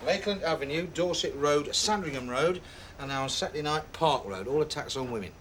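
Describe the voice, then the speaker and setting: A man's voice: a little high, animated, brisk, a bit bright, slight background noise. A man playing a dogged, impassioned British police detective in a TV drama, arguing bluntly and insistently. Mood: urgent. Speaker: detective constable. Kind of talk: naturalistic TV police drama acting.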